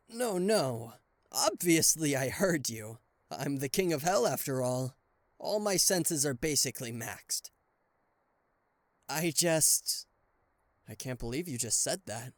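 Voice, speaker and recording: A man playing a charismatic, flustered king of hell as a boyfriend in an ASMR roleplay audio drama, with a theatrical, halting delivery. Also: mid-range voice, no room sound. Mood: incredulous. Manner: theatrical, hesitant stammering, exasperated sighs.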